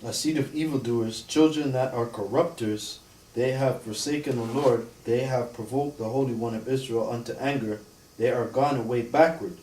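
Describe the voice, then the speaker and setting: A man with an ordinary mid-range voice reads old scripture verses slowly and evenly. He sounds distant, with the echo of a roomy space around him. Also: mid-range voice, a little mellow. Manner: slow, measured reading of scripture. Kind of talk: scripture reading and casual chat. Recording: echoey room from far off.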